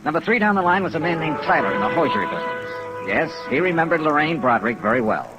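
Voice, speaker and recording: A man's voice: a little high, very animated, quick pace, rough recording. An American man playing a wry insurance investigator in a 1950s radio serial, clipped and dry. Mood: businesslike narration. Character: brisk, wry insurance investigator narrating his case. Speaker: lead actor and narrator of a detective radio serial. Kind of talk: 1950s radio detective acting. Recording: vintage radio recording with rain and music.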